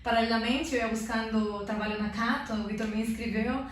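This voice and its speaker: A woman's voice: on the high side, fairly quick, slight background noise. Woman sharing an emotional church testimony. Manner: earnest, tag questions, lively. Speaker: interview guest giving testimony. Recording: echoey room, mic at a distance.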